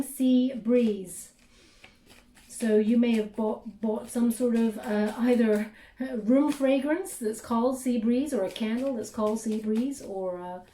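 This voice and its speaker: Soft-spoken woman reading tarot, echoey. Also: fairly high pitch, far from the mic, a little hiss or hum. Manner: soft, hesitant, many fillers, addresses listener warmly. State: losing her voice, clearing throat. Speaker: tarot reader.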